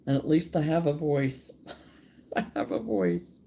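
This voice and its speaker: A woman hosting a crochet live stream, chatting casually with viewers while she works, her voice still hoarse and froggy from a cold. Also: deep voice, a little slow, a little hiss or hum. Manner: relaxed and chatty, mutters to herself while working.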